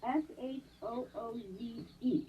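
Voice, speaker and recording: A woman actress being interviewed on a live stream, chatty and animated, laughing often. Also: on the high side, slow pace, lo-fi sound. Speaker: guest actress. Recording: distant mic with faint noise.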